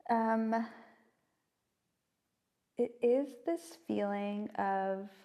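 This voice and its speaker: A soft-voiced woman, a playwright guest on a podcast, talking in a hesitant, reflective way with many fillers and restarts. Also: fairly high pitch, drawn-out words. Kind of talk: casual podcast conversation.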